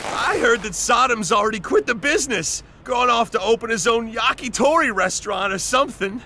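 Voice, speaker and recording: A fairly high voice for a grumbling video game street thug. He gripes and gossips in a bored way over clear background noise. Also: animated, a little fast. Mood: gossipy. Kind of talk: video game cutscene acting. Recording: noisy background under the voice.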